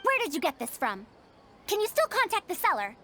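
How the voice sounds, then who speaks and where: A woman's voice: very high-pitched, huge pitch swings, fairly quick, fairly bright tone, slight background noise, heavily acted. A woman voicing a bookish, fussy scholar in a video game, speaking formally with emphatic, old-fashioned phrasing. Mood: indignant, dismayed. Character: bookish, fussy young-sounding woman scholar, indignant about her beloved books.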